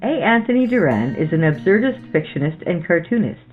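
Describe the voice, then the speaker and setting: A podcast host giving scripted horror narration in a theatrical, measured storytelling manner. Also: mid-range voice, sing-song. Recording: muffled lo-fi sound under music. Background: background music.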